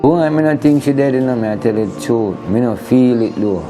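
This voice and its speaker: A man's voice: mid-range voice, expressive, a little fast. Middle-aged Jamaican man speaking broad Patois. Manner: plain-spoken, colloquial. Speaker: interviewee, father of a missing woman. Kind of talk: casual spoken interview. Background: background music.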